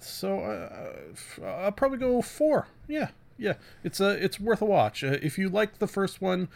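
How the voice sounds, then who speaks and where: Casual, halting man hosting a podcast. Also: medium pitch. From Canada. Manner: halting, self-interrupting, with asides and self-corrections. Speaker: podcast host. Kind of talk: casual solo review monologue.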